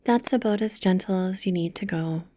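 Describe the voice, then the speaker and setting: A woman leading a guided meditation with soft, gentle, soothing instructions, breathy and often close to a whisper. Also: mid-range voice, moderate pace, no echo.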